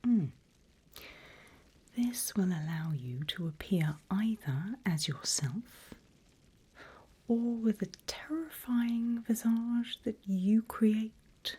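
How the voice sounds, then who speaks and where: Slow, whispering female demon mentor played by a woman. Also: lowish voice, dark tone, exaggerated voice. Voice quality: breathy, mostly unvoiced whisper. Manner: slow, deliberate, dryly humorous. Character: seasoned female demon, wry, encouraging instructor of new demons. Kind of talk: whispered ASMR roleplay.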